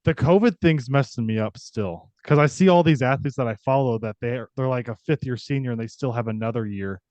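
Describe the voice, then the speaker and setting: A man from Missouri hosting a podcast, asking relaxed questions and answering with short affirmations. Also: mid-range voice, brisk, clean tone, dry recording. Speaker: podcast host. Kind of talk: casual interview chat. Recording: dry, slightly dull mic.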